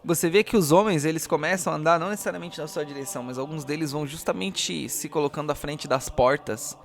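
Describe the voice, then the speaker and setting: A man narrating a tabletop role-playing game as game master, with an expressive, theatrical delivery. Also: fairly high pitch, fast, creaky.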